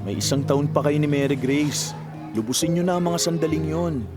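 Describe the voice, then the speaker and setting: A man's voice: a little high, moderate pace, natural voice. An actor with a Filipino accent playing a supportive, practical young male friend in a Tagalog radio drama. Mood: consoling. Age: young adult. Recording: loud music under the voice.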